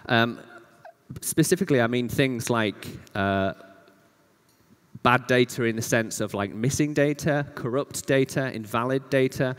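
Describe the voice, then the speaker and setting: A man giving an informal, explanatory conference presentation with emphatic repetition. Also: mid-range voice. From Yorkshire, northern England. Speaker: conference speaker, data scientist. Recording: reverberant hall sound.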